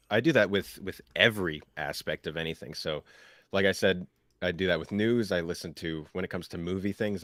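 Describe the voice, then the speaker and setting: A man's voice: deep voice, fairly bright tone, no room sound. A man co-hosting a talk show, chatting casually and loosely about his habits and views. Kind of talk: casual chat.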